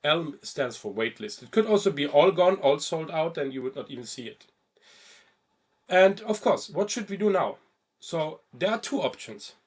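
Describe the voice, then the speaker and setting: Higher-voiced man narrating a software tutorial. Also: big pitch swings, moderate pace, husky. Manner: informal step-by-step explaining with check-in questions. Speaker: travel ticketing instructor.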